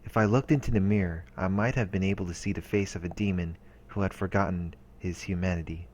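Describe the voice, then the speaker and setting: A man's voice: deep voice, fairly even intonation, fast, a little hiss or hum. A man reading visual novel text aloud as a let's play host, in a narrating style. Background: soft game music.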